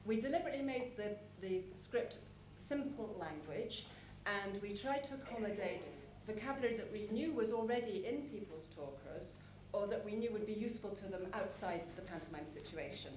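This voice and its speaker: A woman speaks as a presenter at a plenary session in a large hall. Her mid-pitched voice moves through explanatory phrases with deliberate pauses. The sound is roomy, with noticeable background noise. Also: lively intonation, darkish tone. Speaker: conference presenter. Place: large conference hall with an audience. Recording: echoey conference hall, clear background noise.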